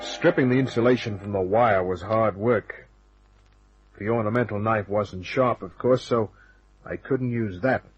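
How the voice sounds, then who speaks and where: A man's voice: fairly low pitch, a little slow, slight background noise, lightly acted. Hardboiled radio detective played by a man, dull vintage recording. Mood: tense, terse. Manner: wry, clipped, hardboiled. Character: wisecracking, tough male hardboiled detective hero telling his story. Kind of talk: old-time radio crime drama narration and dialogue.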